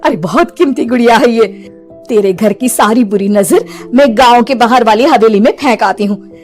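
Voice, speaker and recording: A woman playing a sly, gloating village witch in a horror story, with a theatrical, drawn-out delivery. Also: fairly high pitch, a little mellow, faint music behind, close to an everyday voice. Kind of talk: acted Hindi horror story for animation.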